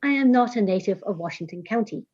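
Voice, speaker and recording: A woman with an English accent introduces herself in a measured, formal way. Her voice is mellow and soft-edged. It comes through a dull, lo-fi remote call line. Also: mid-range voice, expressive. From Scotland, raised in England. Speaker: senior management auditor. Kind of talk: formal self-introduction at a public meeting. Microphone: remote call audio. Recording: dull lo-fi video call audio.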